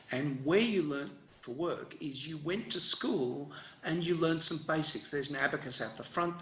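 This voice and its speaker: A man's voice: mid-range voice, roomy sound, rough recording. Australian man giving a rambling lecture. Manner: relaxed, rambling lecture with many fillers and restarts. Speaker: education professor. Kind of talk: online conference presentation.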